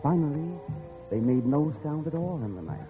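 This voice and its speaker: Man playing weary gentleman explorer, wry n brooding, highish voice, old radio drama, thin phone-like sound. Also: animated, normal speed, music in the background, lightly acted. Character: world-weary gentleman adventurer narrating his doomed jungle expedition. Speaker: radio actor. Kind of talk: 1940s radio drama narration and acting. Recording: tinny old radio broadcast.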